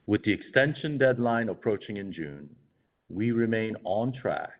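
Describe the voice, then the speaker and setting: A man with a low voice delivers prepared earnings call remarks as a company executive. His delivery is formal and measured. The audio is dull and band-limited, like a conference call.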